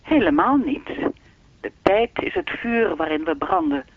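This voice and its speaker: A man's voice: extremely high pitch, big pitch swings, unhurried, vocal fry, full character voice. A man playing an enigmatic, all-knowing keeper of time in a radio play, speaking formally and teasingly through a telephone filter. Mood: calm, lecturing. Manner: formal, lecturing, unruffled, faintly teasing. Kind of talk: radio drama dialogue. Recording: thin, dry telephone-line sound.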